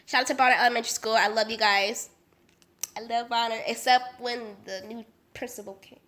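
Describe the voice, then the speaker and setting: A young woman from Las Vegas, sick and congested with a nasal voice, telling a story in a chatty, animated way full of slang and fillers. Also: fairly high pitch, a little fast. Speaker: vlogger. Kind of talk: casual storytime vlog.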